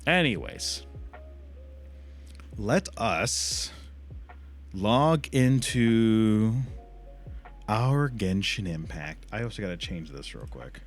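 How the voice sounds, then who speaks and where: A man's voice: lowish voice, sing-song, drawn-out words, long pauses, soft background music. A man chatting casually as a livestream host and gamer, rambling in loose slang with frequent swearing.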